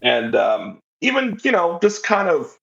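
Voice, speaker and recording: A man's voice: high voice, wildly swooping pitch, a little slow, creaky. A man speaking as an interview guest, casually and reflectively explaining his own creative work, on slightly dull audio.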